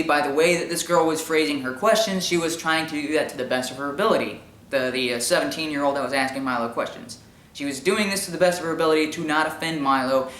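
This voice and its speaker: High-pitched man with an echoey sound. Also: fairly bright tone. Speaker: commentary show host. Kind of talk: informal opinion commentary.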